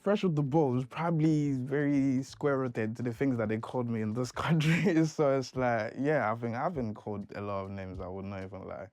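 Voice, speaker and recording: A man talking casually and in a laid-back way in a documentary interview, sometimes dropping into quiet asides. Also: medium pitch, moderate pace, hardly any pauses, dry recording. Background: faint music.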